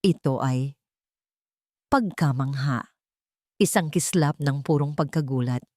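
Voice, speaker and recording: Low-pitched Filipino woman narrating romance. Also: slow, a little mellow, no echo, studio quality. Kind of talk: scripted romance story narration.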